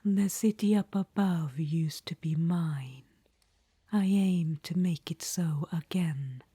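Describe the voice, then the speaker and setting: A proud, scheming undead witch queen, voiced by a woman, whispers right into the ear. The voice is airy and breathy with a dark, mellow tone, unhurried and low. The close mic is dry, with no room around it. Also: dry recording, heavily acted. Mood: coaxing. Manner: soft unvoiced whisper. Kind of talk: whispered ASMR audio roleplay.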